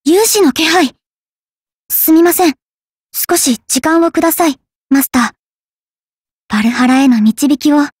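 A woman's voice: high voice, darkish tone, no echo, clean studio sound. A woman playing an earnest, reserved young valkyrie in an anime game, speaking hesitantly. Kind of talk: anime mobile game voice acting.

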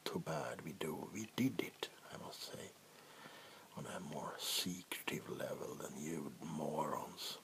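A man playing an ancient, power-hungry vampire warlord in a soft-spoken ASMR roleplay, with a breathy whisper and a deliberate, menacing delivery. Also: very deep voice, expressive, full character voice. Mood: grim, weary. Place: small wood-panelled room indoors.

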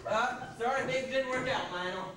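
A young American man acting a literal-minded, put-out husband in a high school stage comedy. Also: moderate pace, crowd noise. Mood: agreeable. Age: young adult. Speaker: amateur stage actor. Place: indoor school theatre stage. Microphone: far camera microphone. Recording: distant, echoey, noisy auditorium videotape.

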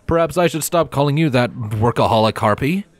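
A man's voice: mid-range voice, expressive, fairly quick, pitched above the performer's own voice, lightly acted. A man voicing a genial, teasing middle-aged male scientist in a story. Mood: genial, playful. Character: genial greying male astrophysicist, playful.

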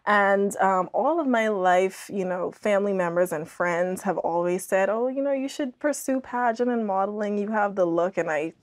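A young woman speaks as a podcast guest. She tells her story in a casual, conversational way, with plenty of "like" and "you know" along the way. Her pitch is average for a woman. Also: normal speed, hardly any pauses. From Andros, Bahamas. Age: young adult. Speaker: podcast guest, scientist and author. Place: small indoor podcast studio.

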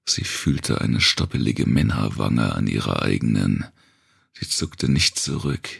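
A man reading scripted narration for a dark thriller audiobook. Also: rumbling low, lively intonation, a little slow, gravelly, husky.

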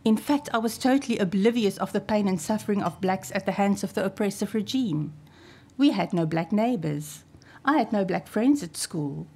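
A South African woman in her fifties, Afrikaans her first language, delivers a prepared lecture from a podium. She reads in a measured, formal way and leans on key phrases for emphasis. Her voice comes through a podium microphone in a wood-panelled hall. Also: medium pitch, animated, creaky, darkish tone, breathy edge. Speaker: archivist giving a talk.

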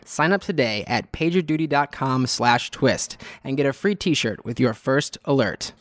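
A man reading a scripted sponsor ad in a smooth, promotional way. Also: medium pitch, expressive, moderate pace. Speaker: ad announcer.

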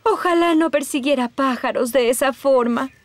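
A woman with a Latin American Spanish accent voicing a kindly, dreamy New Age mother in a children's cartoon, earnest and expressive. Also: high voice, normal speed, music in the background, natural voice.

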